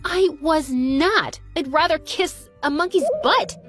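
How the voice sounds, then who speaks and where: A woman's voice: fairly high pitch, very animated, slow, faint music behind. A woman voicing a feisty, sarcastic teenage cheerleader with exaggerated, dramatic delivery. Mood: indignant.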